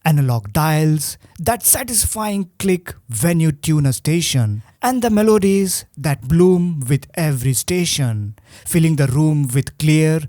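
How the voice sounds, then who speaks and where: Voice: mid-range voice, dark tone, no room sound, studio quality. A presenter narrating a scripted video review, with a wry, rhetorical manner.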